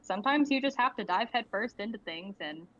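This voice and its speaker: A woman's voice: mid-range voice, lively intonation, a little fast, a bit bright. Young woman, student creator, candid and rambling, laughs easily; slightly dull lo-fi audio. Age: young adult. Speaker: guest, indie animator and creator. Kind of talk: casual interview answers. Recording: slightly muffled lo-fi audio with faint background ambience.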